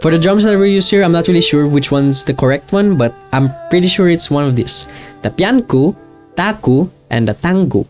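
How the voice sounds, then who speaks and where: A man's voice: fairly high pitch, expressive, normal speed, darkish tone. Casual man narrating over music. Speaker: video creator. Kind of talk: casual scripted video essay narration. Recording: music behind the voice. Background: music with guitar.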